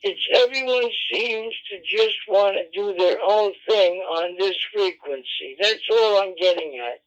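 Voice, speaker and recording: A man with a notably high voice speaks at a slow, deliberate pace. He is combative and repetitive, swearing as he argues. The audio is a dull, lo-fi radio transmission. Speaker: amateur radio operator. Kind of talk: radio argument. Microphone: radio transceiver microphone.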